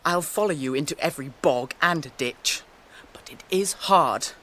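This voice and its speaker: Young hobbit gentleman, English accent, breezy, eager, chatty, cheerful radio drama delivery. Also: on the high side, moderate pace. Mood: grumbling, curious.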